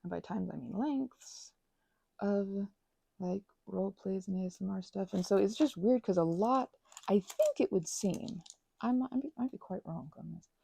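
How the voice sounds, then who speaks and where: Husky-voiced woman rambling while crafting. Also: mid-range voice, moderate pace, warm tone, no room sound. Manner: rambling, chatty, many fillers and restarts. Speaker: ASMR and audio creator.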